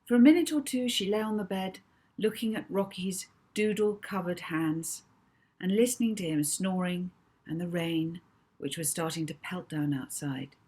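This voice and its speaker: Lowish-voiced woman narrating a children's story. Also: normal speed, mellow, slightly rough. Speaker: author reading own novel. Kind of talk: scripted children's audiobook narration.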